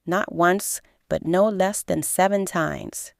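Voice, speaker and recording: A woman's voice: fairly low pitch, steady tone, vocal fry, no echo, studio quality. A woman playing a stern, stiff fantasy arbiter. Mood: stern, formal. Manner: formal, stiff, deliberate pronouncement. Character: stiff, stone-faced male arbiter pronouncing a death sentence. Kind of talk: fantasy radio drama.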